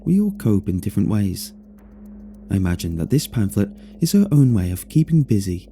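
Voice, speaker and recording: A man's voice: fairly low pitch, big pitch swings, normal speed, warm tone, a little hiss or hum. A man reading a scripted first-person horror story as its narrator.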